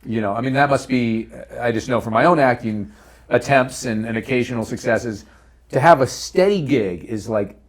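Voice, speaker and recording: Man, mid-pitched voice, podcast host, casual interview chat, joking, conversational. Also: normal speed.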